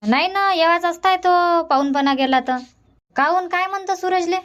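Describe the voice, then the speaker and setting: A woman's voice: very high voice, moderate pace, a bit bright. A woman voicing a middle-aged village aunt with a Vidarbha Marathi accent, who lectures and scolds in long run-on stretches. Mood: brusque.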